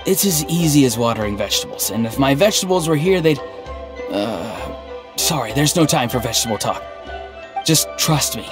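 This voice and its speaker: An actor playing an earnest, odd, melancholy young man in a video game, speaking in a halting, stiffly sincere deadpan. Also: medium pitch, natural voice. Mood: reassuring. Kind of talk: video game dialogue acting. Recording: game music underneath. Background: background game music.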